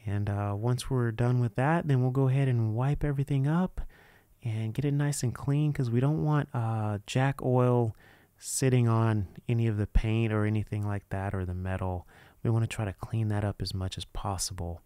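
A man's voice: medium pitch, steady tone, normal speed, mellow, smooth, no echo, studio quality. A man narrating a hands-on how-to in an informal, unscripted way, explaining each step casually. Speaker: presenter of a DIY how-to video.

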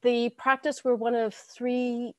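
American woman doc giving a webinar on a call, earnest and lively, dry sound, kinda far from the mic. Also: on the high side, slow, no echo. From United States. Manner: earnest, animated presenter with Māori phrases. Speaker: rural GP presenting a webinar. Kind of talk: webinar presentation. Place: home room on a video call. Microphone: headset earbud mic on video call. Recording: dry, slightly distant call audio.

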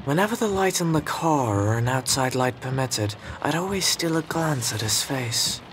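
A man playing a reflective, observant storyteller, narrating a radio drama in measured, literary phrases. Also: mid-range voice, expressive, fairly bright tone, slight background noise, natural voice, rough recording.

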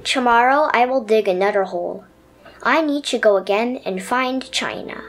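Woman reading as a high-voiced, dreamy little turtle. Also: moderate pace, faint music behind, lightly acted. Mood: earnest, amazed. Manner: expressive storybook first-person telling. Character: dreamy, adventurous little pet turtle, earnest and easily amazed.

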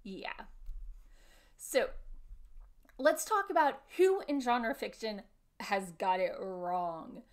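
A woman in her thirties giving an explanatory talk to camera at home, with deliberate phrasing. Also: a little high, big pitch swings. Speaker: vlogger presenting panel notes. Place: small furnished room at home, indoors.

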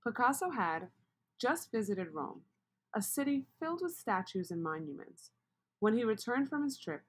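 A woman reading a children's picture book aloud, expressive and asking the listener questions. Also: mid-range voice, slightly rough. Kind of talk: read-aloud storybook narration.

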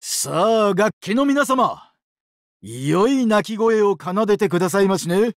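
An actor playing a sadistic, weeping angel of doomsday in a mobile game, exaggeratedly polite and dramatic, swinging between sorrow and glee. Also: male voice, high voice, expressive, unhurried, no echo, studio quality. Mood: manic glee. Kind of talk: Japanese mobile game character acting.

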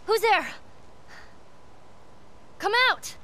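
A woman's voice: very high voice, expressive, very slow, fairly bright tone, full character voice. An American woman voicing a tough, wary teenage girl survivor in a video game, blunt and urgent. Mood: wary, threatening. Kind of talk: video game cutscene acting.

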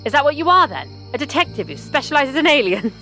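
A bright, eager young journalist, as an actress voices her in an audio drama, her voice high and lively as it leaps around. Soft background music plays beneath her lines. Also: quick pace, exaggerated voice. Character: Eleanor Agnew, curious adventurous female journalist.

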